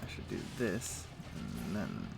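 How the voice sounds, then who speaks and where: A man's voice: a bass voice, wildly swooping pitch, takes it slowly, creaky, loud music behind the voice. A man streaming a game, chatting casually and thinking aloud in an easygoing, musing way. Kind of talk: casual chat while playing an online game.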